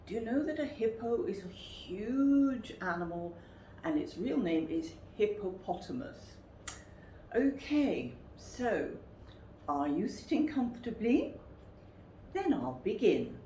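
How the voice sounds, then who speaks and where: A woman reading a picture book aloud to children in an expressive, deliberate way. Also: on the low side. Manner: expressive, deliberate, asks listeners questions. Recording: roomy, distant, noisy recording.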